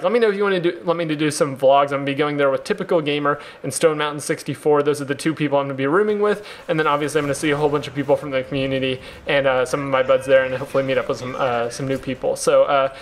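Young gamer guy rambling fast n excited, slightly high voice, kinda hoarse, faint hum behind. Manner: excited, rambling with many repeated words. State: hoarse, losing his voice. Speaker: gaming YouTuber. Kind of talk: casual vlog talk to viewers over gameplay.

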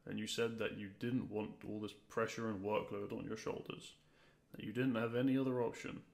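A man's voice: on the low side, creaky. A man playing a grumpy, weary dragon who is secretly fond, speaking to the listener in an exasperated, dry way in an audio roleplay.

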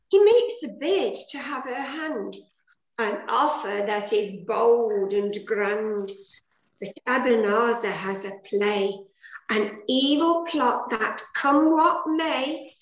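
A woman reading scripted, rhyming pantomime narration as the fairy storyteller, recorded on a video call. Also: a little high. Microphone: computer mic on video call. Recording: echoey room on video call.

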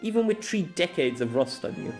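A man voicing a confident, playful young noblewoman swordfighter in an audio story, soft-spoken but commanding, with grand archaic phrasing. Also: fairly high pitch, big pitch swings, a little fast, faint music behind, pitched above the performer's own voice. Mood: teasing, confident.

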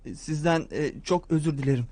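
A man's voice: on the high side, fairly quick, mellow, no room sound, natural voice. An actor dubbing a humble young man in a cartoon who talks hesitantly and stammers. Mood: apologetic. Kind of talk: Turkish cartoon dub.

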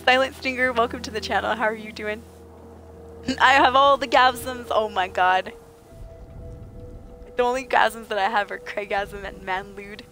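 A woman streaming a game, slightly raspy and under the weather, chatting playfully with viewers and joking in mock outrage. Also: fairly high pitch, steady tone, normal speed, pauses often, bright, forceful tone, soft background music. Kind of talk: casual gaming chat with viewers.

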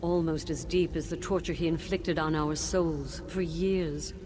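A weary ghost of a woman teacher, bound in torment and speaking slowly and gravely. Her voice sits a little low, and steady noise runs under the game audio. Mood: grateful. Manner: sorrowful, measured and grave. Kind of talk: video game voice acting.

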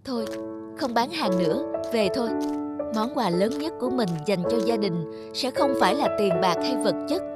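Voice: mid-range voice, lively intonation, normal speed, natural voice. An earnest young male seller from a cartoon, voiced by an actor. He talks politely and sincerely to his elders, with music behind him. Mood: kind, earnest.

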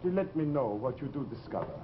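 A man's voice: mid-range voice, expressive, quick pace, natural voice. A middle-aged man playing a grave, courteous doctor in a vintage television drama, speaking in a formal, concerned way. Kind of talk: 1950s television drama acting. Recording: muffled, noisy old TV soundtrack.

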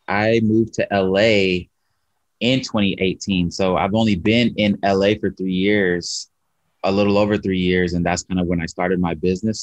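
A man's voice: deep voice, dry recording. A man in his thirties, a coffee shop owner, talking earnestly and with animation on a video call from his shop. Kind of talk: interview over video call. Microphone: video call webcam. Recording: slightly muffled video call audio.

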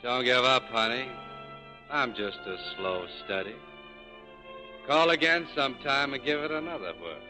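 An actor playing a bitter, sardonic, wounded American cafe owner in a 1940s radio drama. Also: male voice, medium pitch, expressive, moderate pace, long pauses, close to an everyday voice. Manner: sardonic, clipped, world-weary. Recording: 1940s radio broadcast, faint music.

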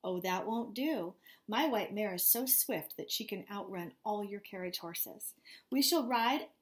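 A woman's voice: medium pitch, unhurried, natural voice. A woman reading aloud as a young princess who is stubborn and breathless with fear. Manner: pleading, breathless.

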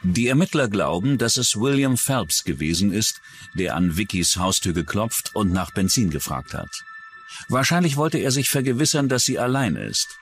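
Low-pitched male true-crime narrator, lively intonation. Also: normal speed, faint music behind. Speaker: documentary narrator.

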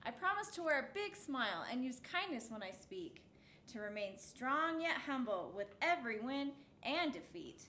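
A woman in her thirties reading a picture book aloud for children's storytime, phrase by deliberate phrase, in a roomy indoor space. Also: high-pitched, sing-song, sharp tone. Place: indoor set with backdrop, seated. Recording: roomy echo, faint background noise.

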